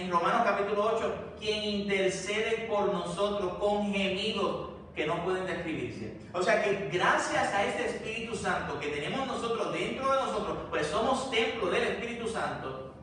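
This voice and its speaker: A man's voice: high-pitched, pushed and bright. A man with a Puerto Rican accent preaching a sermon to his congregation, speaking to them directly in a repeating, building cadence. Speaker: pastor. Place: church sanctuary. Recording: very echoey church, distant mic, faint noise.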